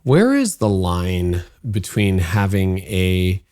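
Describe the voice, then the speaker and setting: Low-voiced man hosting a podcast. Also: sing-song, slow pace, a little mellow. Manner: curious, probing questions. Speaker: podcast host. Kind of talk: interviewing a guest.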